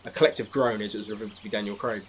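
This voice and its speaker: A man talks quickly in a fairly low voice as a guest in a casual discussion. His delivery is informal and descriptive. There is some noise in the background. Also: fairly even intonation. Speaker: podcast guest. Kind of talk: casual film and game chat.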